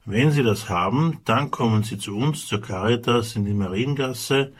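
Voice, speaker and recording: A man with an Austrian accent, speaking for an aid organization, explaining rules in a measured way with frequent hesitations. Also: medium pitch, slightly rough. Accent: Austrian German. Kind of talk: radio interview answers.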